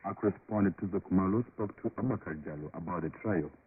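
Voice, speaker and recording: A man presenting the news as anchor in a formal, steady read. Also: low-pitched, quick pace, a little hiss or hum. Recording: tinny shortwave radio.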